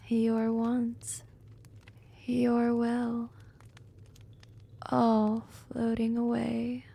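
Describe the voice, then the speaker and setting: Woman playing a breathy, creaky, seductive vampire hypnotist. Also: fairly high pitch, dark tone, exaggerated voice. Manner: slow, hypnotic, coaxing, dominant. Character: seductive, commanding, teasing female vampire hypnotist. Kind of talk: whispered ASMR roleplay.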